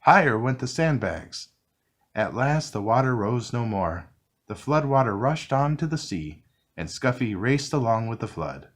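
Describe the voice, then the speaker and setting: A man reading a children's picture book aloud, narrating gently and expressively. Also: mid-range voice, sing-song, unhurried. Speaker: storybook reader. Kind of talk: children's read-along narration.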